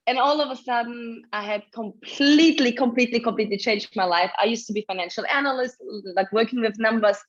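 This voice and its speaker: A woman with a Ukrainian accent, telling her story as a guest in an effusive, lively way. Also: a little high, lo-fi sound. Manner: effusive, multi-passionate storytelling with laughs. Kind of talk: casual video interview.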